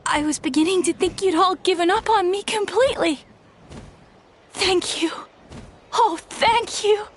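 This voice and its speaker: A young female trainee flier in a video game. Her voice is very high and breathless, earnest and a little unsure of herself, with faint noise behind it. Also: unhurried, pauses often. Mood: tearful gratitude. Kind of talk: video game dialogue acting.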